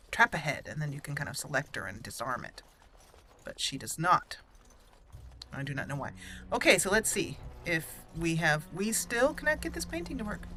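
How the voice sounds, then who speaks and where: A woman's voice: low-pitched, sharp tone, slight background noise. A young woman casually commenting on her game as she plays, chatty and giggly, rambling with fillers. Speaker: let's play host.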